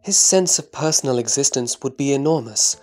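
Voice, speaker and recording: A man playing an earnest, humble disciple in a scripture audio drama, answering in a reverent, deliberate, formal way. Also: mid-range voice, expressive, darkish tone, natural voice. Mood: respectful answering. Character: Subhuti, earnest humble male disciple of the Buddha.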